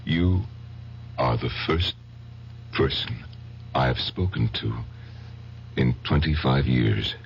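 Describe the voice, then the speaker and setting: An actor playing a meek, anguished man who speaks haltingly and hesitantly, in old-time radio drama style. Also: very deep voice, expressive, creaky, slight background noise, heavily acted. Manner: halting, hesitant, self-effacing. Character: meek, anguished, insignificant man breaking long silence. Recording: dull radio recording with organ music.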